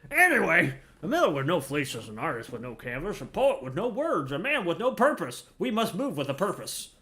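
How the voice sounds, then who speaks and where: A blustering, impatient miller from a fantasy game, played by a man, declaims grandly about the trade. The voice is bright and forceful, swinging up and down in pitch. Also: huge pitch swings, fairly quick, full character voice. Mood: grandiose. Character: blustering, impatient miller obsessed with his craft. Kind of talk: theatrical fantasy game quest dialogue.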